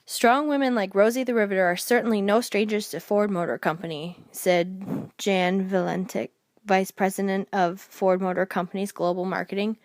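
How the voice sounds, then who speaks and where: A woman's voice: mid-range voice, fairly even intonation, moderate pace, no echo, studio quality. A woman narrating a scripted documentary, reading aloud and now and then stumbling over a word.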